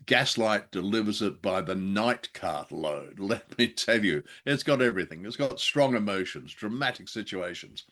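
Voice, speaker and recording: A man's voice: fairly low pitch, animated, normal speed, few pauses, fairly bright tone. A man co-hosting a radio show, commenting on old drama with wry, theatrical phrasing.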